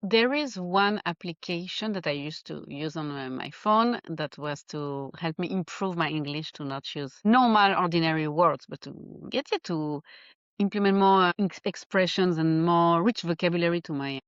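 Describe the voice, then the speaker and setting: A woman's voice: lowish voice, normal speed, no room sound, dull audio. Swiss French woman, chatty and laughing. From Geneva, Switzerland. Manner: animated, laughs often, searches for words. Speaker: life coach guest. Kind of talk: casual interview chat.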